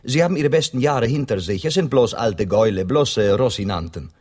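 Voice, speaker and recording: An actor playing a suave, sardonic Spanish gentleman guide in a German radio play, florid and ironic. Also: mid-range voice, lively intonation, fairly quick, husky.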